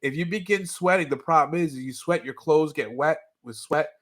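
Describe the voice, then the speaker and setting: A man with a fairly high-pitched voice talks casually as a podcast co-host. He explains things in a conversational, storytelling way, as in a relaxed rewatch discussion. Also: a little fast. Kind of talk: casual animated-series rewatch chat with a co-host.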